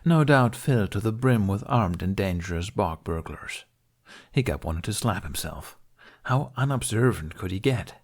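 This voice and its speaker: A man's voice: on the low side, darkish tone. A man reading a story aloud as an audiobook narrator in a scripted, storytelling style.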